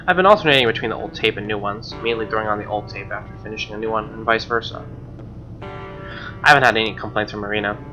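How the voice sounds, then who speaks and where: A man doing a let's play, reading visual novel narration aloud with casual commentary over game music, on a lo-fi mic. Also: lowish voice.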